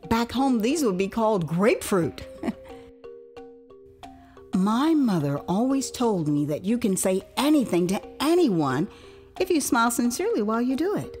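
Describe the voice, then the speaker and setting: An American woman narrating a dramatised memoir in a theatrical, expressive storytelling manner. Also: fairly low pitch. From United States, Houston. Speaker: author and storyteller.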